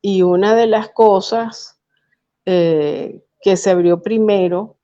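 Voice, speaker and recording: Slow, dark-voiced Venezuelan woman. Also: medium pitch, fairly even intonation, dull audio. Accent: Venezuelan Spanish. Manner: measured, thoughtful, with hesitant fillers. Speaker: guest poet. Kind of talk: remote interview, reflective storytelling. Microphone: video call.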